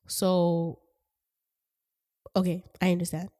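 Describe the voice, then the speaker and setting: A middle-aged woman hosting a podcast in casual chat, her voice raspy and hoarse from a cold, talking in a rambling, chatty way and laughing often. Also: lowish voice, monotone, slow, mellow. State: hoarse from a cold, stuffy nose. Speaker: podcast host.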